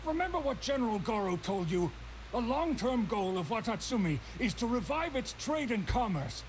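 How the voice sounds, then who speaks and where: A man playing a stern, indignant middle-aged island trader in a video game, gruff and argumentative. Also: very high voice, sing-song, normal speed, slight background noise, poor audio. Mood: commanding. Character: stern, indignant older male island trader, grieving father.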